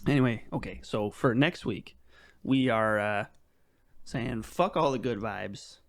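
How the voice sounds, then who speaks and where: A man co-hosting a podcast in casual chat, making sardonic, deadpan jokes. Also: on the low side, unhurried. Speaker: podcast co-host.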